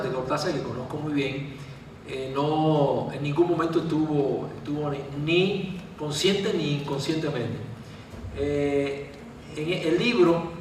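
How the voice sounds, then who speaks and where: A man from Cuba, a writer, telling a self-deprecating anecdote and answering questions at a literary gathering. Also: a little high. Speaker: author. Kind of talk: storytelling talk and answering questions. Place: large event hall. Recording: echoey hall from far off, faint noise.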